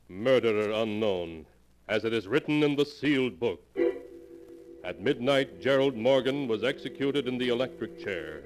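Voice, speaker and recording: A man's voice: on the low side, poor audio. A man narrating as announcer of a 1940s radio mystery show in a theatrical, portentous manner. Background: music.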